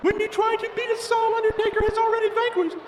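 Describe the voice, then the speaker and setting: A man's voice: extremely high pitch, level tone, a crowd in the background. A man voicing an eerie, mocking ghostly mentor in a video game, speaking in a theatrical, ominous way. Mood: ominous scolding. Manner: theatrical, ominous, drawn-out phrasing. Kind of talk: video game cutscene acting. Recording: echoey, distant, noisy.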